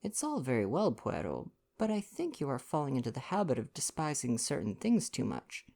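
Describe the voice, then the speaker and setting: A man voicing an earnest, politely argumentative gentleman sidekick in a story reading. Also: medium pitch, huge pitch swings, moderate pace, creaky, pitched above the performer's own voice, exaggerated voice. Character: Poirot's male sidekick, earnest, mildly argumentative English gentleman.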